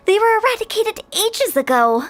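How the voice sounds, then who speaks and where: A woman voicing a playful, mischievous young funeral parlor director who speaks with brisk confidence. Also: very high-pitched, exaggerated voice. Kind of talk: video game voice acting.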